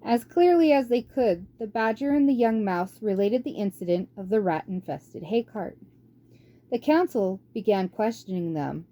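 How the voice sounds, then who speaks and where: A woman's voice: mid-range voice, lively intonation, normal speed, dark tone. A woman reading a storybook aloud as a scripted narration. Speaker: amateur audiobook reader.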